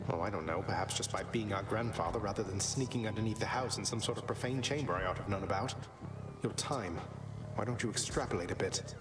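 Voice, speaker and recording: An actor playing a cocky young prince of the gods in a video game, with a wry, nonchalant manner. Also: male voice, fairly low pitch, fast, close to an everyday voice. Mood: firm, impatient. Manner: wry, nonchalant, politely cheeky. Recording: loud music behind the voice. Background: loud game music.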